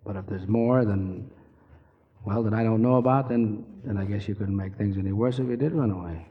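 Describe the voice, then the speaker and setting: An actor playing a blunt, world-weary detective who is gruffly kind, in 1940s radio drama style. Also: male voice, lowish voice, a little fast, natural voice. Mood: probing, gruff concern. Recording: dull, noisy old radio recording with reverb.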